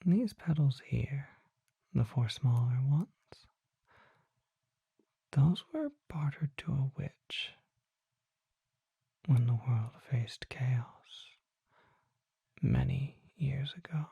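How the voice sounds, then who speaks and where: A performer voicing a mysterious, courtly vampire apothecary in a breathy, formal ASMR whisper, close to the microphone. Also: medium pitch, animated, dry recording, exaggerated voice. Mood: hushed, mysterious. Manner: slow, formal, deliberate, hushed.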